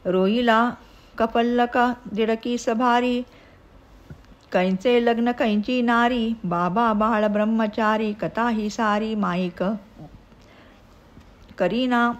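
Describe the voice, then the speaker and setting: A woman reciting devotional narrative verse in a measured way. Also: fairly high pitch, steady tone.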